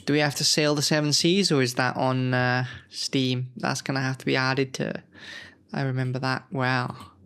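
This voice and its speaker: Gravelly-voiced man musing over old magazines. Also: medium pitch, little pitch movement, moderate pace, a bit bright. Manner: rambling, self-correcting, thinks aloud. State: unwell. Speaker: gaming channel host. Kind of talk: casual nostalgic commentary.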